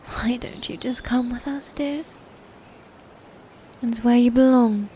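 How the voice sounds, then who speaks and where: Slow, bright-toned sadistic lady knight, acted by a woman. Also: fairly high pitch, steady tone, a little hiss or hum. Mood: mock pity. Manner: slow, mocking, formal old-fashioned speech with breathy whispers. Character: sadistic, taunting medieval female knight, a twin sister. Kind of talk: dark fantasy ASMR roleplay acting.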